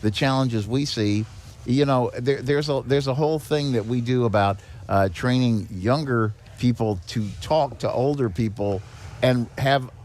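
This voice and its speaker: A man's voice: medium pitch, a little slow, darkish tone. An older man talking conversationally as a podcast guest, explaining with anecdotes in a folksy, emphatic way and repeating key points for weight. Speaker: podcast guest, head of a seniors' nonprofit. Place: on location at a garage.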